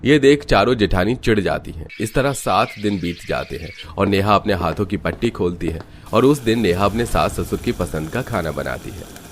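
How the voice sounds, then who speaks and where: A man's voice: sits low, animated, moderate pace. A man reading scripted narration for a cartoon story.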